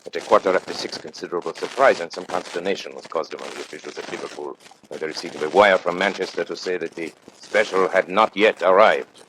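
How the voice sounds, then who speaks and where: An actor narrating a radio mystery as a grave storyteller, formal and measured. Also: male voice, low voice, a bit bright, slight background noise, poor audio. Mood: grave, suspenseful.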